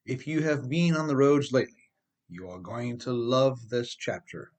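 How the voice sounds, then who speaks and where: A man's voice: medium pitch, normal speed, a little mellow. Middle-aged American man narrating an audiobook. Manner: emphatic, addresses listener with rhetorical questions. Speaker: author reading own audiobook. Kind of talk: scripted audiobook narration with conversational asides.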